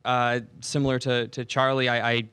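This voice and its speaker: A man's voice: mid-range voice, monotone, normal speed, bright, forceful tone, smooth, no echo. A man who works as a voice actor, chatting casually in an interview.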